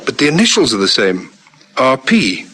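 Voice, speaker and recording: An actor playing a methodical, courteous police lieutenant in a radio crime drama. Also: male voice, medium pitch, wildly swooping pitch, normal speed, a bit bright. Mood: thoughtful. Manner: businesslike, courteous, thinking aloud. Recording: noisy vintage radio recording.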